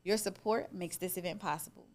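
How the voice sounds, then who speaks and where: Lady interviewer, voice a bit on the low side, animated and chatty, appreciative tone. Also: fairly quick. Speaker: interviewer and host. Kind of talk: live conversational interview.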